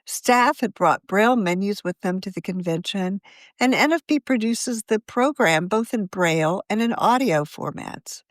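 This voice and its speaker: A woman reads her own feature article aloud, with a pitch that rises and falls freely through each sentence. Her delivery is measured and clear, and she sounds like a magazine editor narrating her piece. The close studio mic leaves the sound dry, with no room around it. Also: lively intonation, no room sound.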